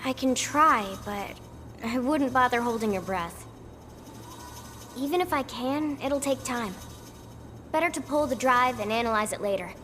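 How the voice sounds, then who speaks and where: A woman playing a young, capable tech specialist in a video game, with a brisk, matter-of-fact manner. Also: a little high, animated, sharp tone, a little hiss or hum. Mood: frank, businesslike. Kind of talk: video game cutscene acting.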